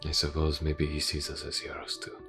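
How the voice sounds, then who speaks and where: Man voicing a very deep, measured detective. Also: completely flat delivery, over music. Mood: reflective. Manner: measured, formal, gently reassuring, hesitant pauses. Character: calm, reserved male police detective caring for wounded partner. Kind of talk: audio roleplay, character acting.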